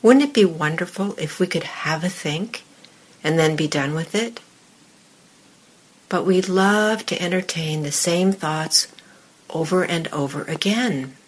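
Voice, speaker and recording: A woman with a somewhat low, breathy voice guides a meditation. She speaks very slowly and deliberately, in short phrases with space between them. Her tone is husky, and she talks as a teacher addressing a seated group. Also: low-quality recording. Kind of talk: guided meditation and dharma talk to a group in the room.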